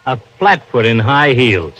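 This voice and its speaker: An actor playing an earnest, sheepish, grumbling police lieutenant, a comic foil in old-time radio style. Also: male voice, mid-range voice, sing-song, measured pace, fairly bright tone, breathy edge, full character voice. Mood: eager, folksy. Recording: muffled old radio recording.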